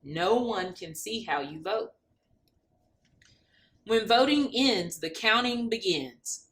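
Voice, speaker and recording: Lively, bright-voiced woman reading to children. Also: mid-range voice, slow. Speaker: reader of a children's picture book. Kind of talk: expressive read-aloud for children.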